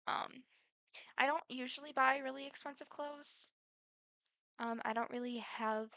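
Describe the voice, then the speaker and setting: Midwest teen girl doing a q&a vlog, bright kinda high voice, rambly, dry close sound. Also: gravelly, clean tone, no room sound. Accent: Midwestern American. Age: teens. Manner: chatty, rambling, full of fillers. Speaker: vlogger. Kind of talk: casual get-to-know-me Q&A.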